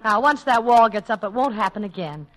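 A woman's voice: on the high side, lively intonation, brisk, close to an everyday voice. A woman playing an eager, optimistic wife in a 1940s radio comedy, coaxing and soothing her husband. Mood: brisk, optimistic. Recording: very noisy vintage 1940s radio broadcast.

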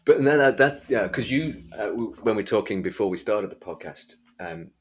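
A man hosting a podcast, talking casually about books in a hesitant, halting way. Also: on the low side, animated, slight background noise. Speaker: podcast host. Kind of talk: casual book discussion chat.

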